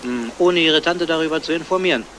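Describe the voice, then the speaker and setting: An actor playing a composed police detective who probes in a knowing, unhurried way in a crime radio play. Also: male voice, fairly high pitch, a bit bright, natural voice. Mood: probing, knowing. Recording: noisy old radio play recording.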